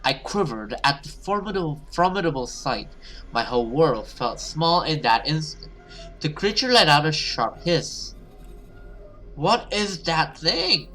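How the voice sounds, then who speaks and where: A man doing expressive let's play commentary and dramatic read-aloud of a horror visual novel as a gaming host. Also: a little high, normal speed, faint music behind, low-quality recording. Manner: expressive, reactive, sweary.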